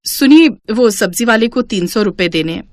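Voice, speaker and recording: A woman's voice: mid-range voice, expressive, normal speed, a little hiss or hum, lightly acted. Harried, plaintive housewife, as a woman narrator plays her. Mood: earnest. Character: harried, dutiful middle-aged housewife and mother.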